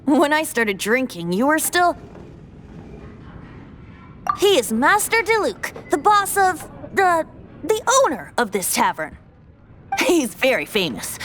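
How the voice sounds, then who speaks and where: A woman's voice: high voice, a little hiss or hum. A woman voicing a sly, carefree, youthful boy bard in an anime video game, breezy and theatrical. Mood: glib. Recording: faint music behind.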